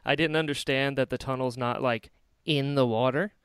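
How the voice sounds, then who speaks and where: An American man hosts a casual podcast with open enthusiasm. His voice is clear and smooth at a middling pitch. The sound is dry and close, and he talks effusively, like someone eager to share. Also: steady tone, moderate pace, no echo. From Florida, United States. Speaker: podcast host.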